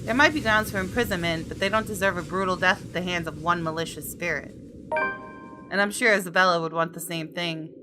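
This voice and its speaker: A woman's voice: fairly low pitch, fairly quick, over loud music. A woman hosting a gaming video, reading a visual novel aloud expressively and adding casual asides.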